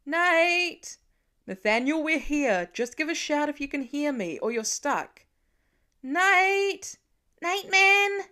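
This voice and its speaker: A woman's voice: high voice, animated, slow, pushed and bright, pitched above the performer's own voice, full character voice. A woman voicing a protective, loving father in an audiobook, with an earnest parental way of talking. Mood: urgent, calling out. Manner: soothing, earnest parental talk. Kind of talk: audiobook character acting.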